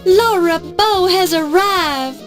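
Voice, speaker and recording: Earnest young novice reporter for adventure game: very high voice, unhurried, determined, music underneath. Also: female voice. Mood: hopeful. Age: young adult. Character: eager, polite, plucky young woman, a novice reporter. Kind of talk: scripted adventure game acting.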